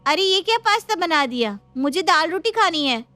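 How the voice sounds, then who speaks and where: A woman plays a young twin from a family story, full of mischief. She speaks high, with a teasing, slightly whiny and demanding manner. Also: sing-song, normal speed, sharp tone, soft background music, full character voice.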